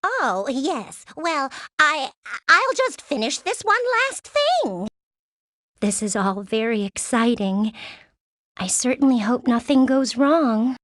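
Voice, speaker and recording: A woman's voice: sits high, big pitch swings, slow pace, fairly bright tone, dry recording, studio quality, heavily acted. A woman playing a formal, fussy, theatrical female alien gem subordinate in a cartoon game, eager and militant. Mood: flustered excitement. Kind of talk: animated game cut-scene acting.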